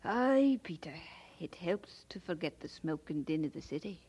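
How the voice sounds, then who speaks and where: Husky, opinionated Scottish aunt. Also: female voice, fairly low pitch, lively intonation, warm tone. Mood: wistful. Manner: brisk, teasing, outspoken. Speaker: actor in an audio drama. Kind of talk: audio drama acting.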